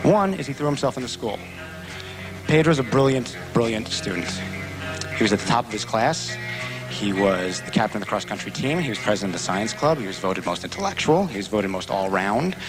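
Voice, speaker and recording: A man's voice: lowish voice, lively intonation, a little fast, music in the background. A man telling an earnest, emphatic account about someone he knows. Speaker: interviewee describing a friend.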